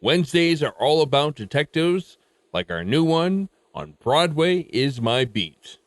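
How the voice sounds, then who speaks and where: A man's voice: on the high side, lively intonation, measured pace, dry recording. Upbeat male podcast host, dry sound. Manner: upbeat announcer reading. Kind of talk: scripted podcast intro.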